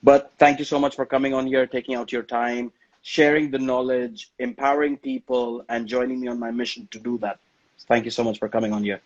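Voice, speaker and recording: A man's voice: medium pitch, fairly quick. Chatty male interview host, lo-fi call. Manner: lively, friendly questioning with repeated words. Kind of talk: live online interview. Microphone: video call.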